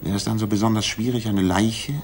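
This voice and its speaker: An actor playing a confident, methodical Victorian detective in a 1960s German radio play, reasoning in a deliberate, commanding way. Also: male voice, lowish voice, fairly even intonation, close to an everyday voice. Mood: explaining, assured. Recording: noisy 1960s radio drama recording.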